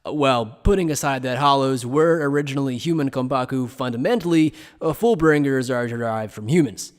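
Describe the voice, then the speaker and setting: A man playing an evasive, playful scholar who explains things in a polite, measured, teasing way in an anime fan dub. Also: mid-range voice, lightly acted. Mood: polite, explanatory. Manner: polite, measured, teasing lecturer with coy understatement.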